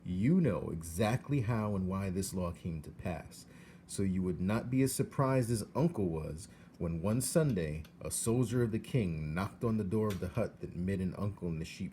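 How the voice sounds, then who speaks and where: Male storybook narrator, lowish pitch, expressive read-aloud, noisy home recording. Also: moderate pace.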